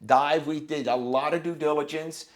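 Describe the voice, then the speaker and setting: A man's voice: fairly high pitch, fairly even intonation, normal speed. Emphatic blunt man in his fifties. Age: 50s. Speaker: guest, business owner. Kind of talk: podcast conversation.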